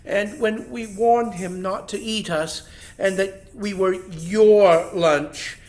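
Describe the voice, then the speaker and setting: A storyteller voicing a small, clever male rabbit who speaks meekly and flatters the king. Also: medium pitch, takes it slowly, voice pushed up, close to an everyday voice. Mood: sly. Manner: meek, flattering.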